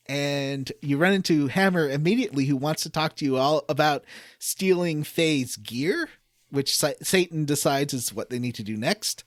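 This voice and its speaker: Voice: medium pitch, dry recording, clean studio sound. A podcast co-host giving a casual, comedic recap of a video game story and acting out the characters' lines. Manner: acts out characters' lines in quoted voices.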